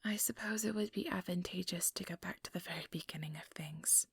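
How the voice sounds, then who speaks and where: A woman voicing an ambitious, manipulative female vampire in a breathy, measured whisper for an ASMR role-play, close to the microphone. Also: fairly low pitch. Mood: measured storytelling. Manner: measured, condescending, persuasive.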